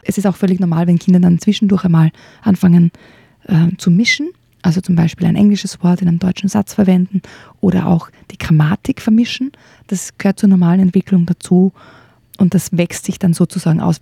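Calm husky female co-host. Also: fairly low pitch, dry recording, clean studio sound. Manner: calm, measured explaining. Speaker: podcast co-host. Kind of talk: radio podcast chat.

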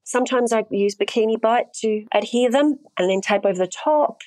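A woman's voice: a little high, moderate pace, a little mellow, no echo. A woman, an intimacy coordinator, talking through her work frankly in a casual interview and laughing easily.

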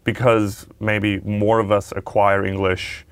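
Low, gravelly-voiced man chatting. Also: flat delivery, slow, no echo. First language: Mandarin. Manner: chatty, swearing, many fillers and restarts. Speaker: podcast co-host. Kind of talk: casual chat.

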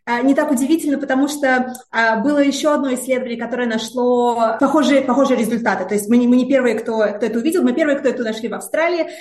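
A woman's voice: on the high side, quick pace, hardly any pauses, darkish tone. A woman researcher answering interview questions in a hesitant way, with many filler sounds and repeated words. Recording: roomy echo.

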